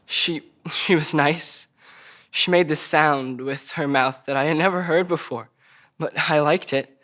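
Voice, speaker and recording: Man acting a troubled, halting, childlike young man. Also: fairly high pitch, steady tone, a bit bright. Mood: hesitant, wistful. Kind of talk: audio drama table read.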